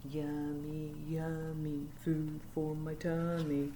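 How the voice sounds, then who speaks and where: A woman's voice: sits low, completely flat delivery, slow, mellow, a little hiss or hum, pitched below the performer's own voice. A woman voicing a group of hungry sharks who chant a sing-song taunt in a storybook. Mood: teasing chant.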